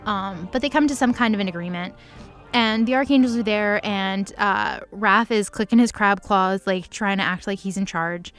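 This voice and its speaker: A woman's voice: mid-range voice, normal speed, a bit bright, clean tone. Sarcastic woman recapping over music. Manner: sarcastic, valley-girl phrasing full of "like". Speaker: recap narrator. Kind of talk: casual comedic story recap, improvised. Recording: music under the voice.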